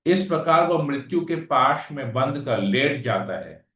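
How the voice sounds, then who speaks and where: A man's voice: mid-range voice, animated, unhurried, a bit bright. A man reading scripture verses aloud and then explaining them in plain conversational talk. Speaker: scripture class speaker. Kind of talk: Hindi scripture reading with commentary. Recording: echoey room, far from the mic.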